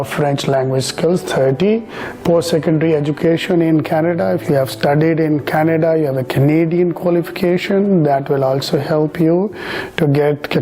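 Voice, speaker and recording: Mellow-voiced man explaining points patiently. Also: a little high. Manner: halting, many "uh" fillers, repeats numbers; patient, addresses the viewer directly. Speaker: immigration consultant. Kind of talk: explanatory presentation.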